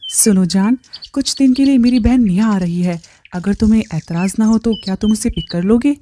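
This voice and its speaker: Woman storyteller as straightforward, earnest wife in a moral tale, mid-weight mellow voice. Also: mid-range voice, normal speed, a little hiss or hum, lightly acted. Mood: friendly. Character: trusting wife and nurse, wronged and stern.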